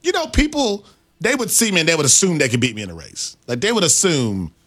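A man co-hosting a sports talk radio show, bright and a touch high in tone. He rambles playfully and laughs easily as he chats. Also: sing-song, fast talker. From Midwest United States. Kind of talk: casual sports radio banter.

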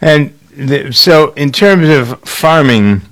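A man's voice: a little high, animated, slow pace, fairly bright tone. A man hosting a radio farm program, interviewing a guest in a conversational, probing way. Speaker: radio show host.

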